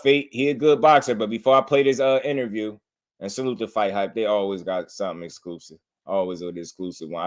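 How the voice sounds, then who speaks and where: A young man hosting a casual boxing reaction show, laid-back, laughing often and repeating himself as he talks. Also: mid-range voice. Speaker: boxing channel host.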